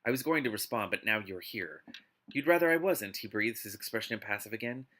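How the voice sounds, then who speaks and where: A man hosting a live read-aloud of a book, mixing narration with casual, exasperated and expressive commentary. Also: lowish voice, a little fast. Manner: exasperated, expressive asides while reading.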